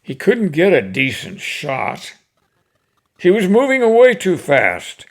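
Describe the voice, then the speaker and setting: An older man with a folksy, unhurried manner, hosting and reciting cowboy poetry in short, paused phrases. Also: high voice, huge pitch swings. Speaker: host reading cowboy poetry. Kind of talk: casual introduction and expressive poem recitation.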